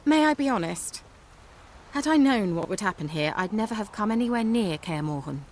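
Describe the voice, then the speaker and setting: An actress playing a self-possessed, frank sorceress in a video game, blunt yet formal. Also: female voice, mid-range voice, animated, a little fast, a little hiss or hum, lightly acted. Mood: cool, businesslike. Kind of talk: dramatic video game voice acting.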